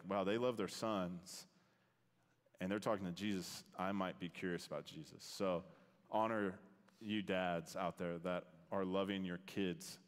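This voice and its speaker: A man with a very low voice talks casually from a church stage, lively and quick to laugh. The room has a long reverb tail around him. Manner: animated, laughs while telling stories. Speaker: guest speaker. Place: church sanctuary. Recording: hall reverb.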